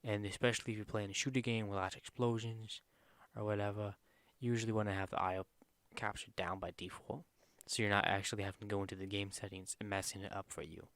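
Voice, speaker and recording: Soft-spoken man rambling through a tutorial. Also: fairly low pitch, flat delivery, fast, hardly any pauses, dry recording. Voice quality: quiet voice. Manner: rambling, informal, many fillers and self-corrections. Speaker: streamer giving a software tutorial. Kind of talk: casual screen-recorded tutorial.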